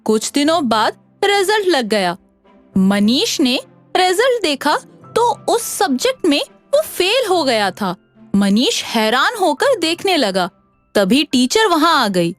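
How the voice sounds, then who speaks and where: A woman reading scripted narration for an animated children's story. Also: sits high, slow, soft background music.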